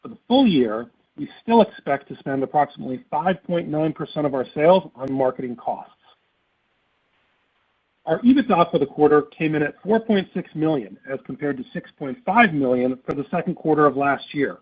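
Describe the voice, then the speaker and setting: A man reading a scripted financial report on an earnings call in a formal, measured manner. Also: a little high, lively intonation, breathy edge. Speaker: company finance executive. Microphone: conference call phone line. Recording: muffled narrowband phone conference line.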